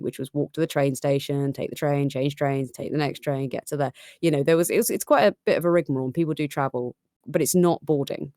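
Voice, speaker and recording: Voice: medium pitch, a little mellow, no echo, studio quality. A guest on a live audio-maker chat stream, telling a story in a rambling way, full of fillers and restarts. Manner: rambling, self-correcting, with many fillers. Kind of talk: casual livestream chat.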